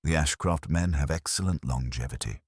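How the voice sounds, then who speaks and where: A man's voice: extremely low pitch, normal speed, breathy edge, dry recording, studio quality, heavily acted. A man narrating an audiobook voices an anxious, privileged young man whose words tumble out nervously.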